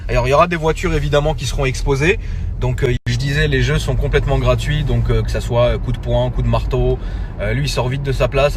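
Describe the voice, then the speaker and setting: A man chatting casually into his phone from a car, relaxed and slangy, with lots of fillers and restarts. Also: medium pitch, background noise. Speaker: social media creator. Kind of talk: casual phone story announcement.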